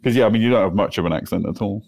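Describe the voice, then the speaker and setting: A man's voice: lowish voice, very fast, creaky, a little mellow. A man from England co-hosting a casual chat show, talking in a loose, chatty way, repeating words and swearing now and then. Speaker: podcast co-host.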